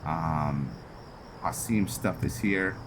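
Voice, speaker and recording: Slow, deep-voiced man over loud music. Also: noisy background. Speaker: gaming video host. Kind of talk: casual roleplay gameplay commentary. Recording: music under the voice.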